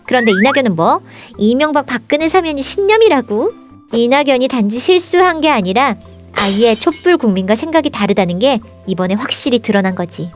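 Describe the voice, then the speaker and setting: A woman playing a high-voiced, scolding fairy-tale princess. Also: sing-song, fairly quick, faint music behind. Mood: indignant. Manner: scolding, emphatic, rhetorical questions. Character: sharp-tongued young fairy-tale princess, indignant political explainer. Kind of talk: scripted political satire dialogue, storybook parody.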